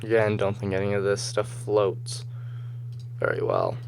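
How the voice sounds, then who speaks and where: A man with a slightly hoarse, just-woken voice gives casual gameplay commentary, rambling and thinking aloud as he goes. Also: on the low side, sing-song, measured pace, slight background noise. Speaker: gamer, host of a gameplay video series. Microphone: voice-over recorded over game footage.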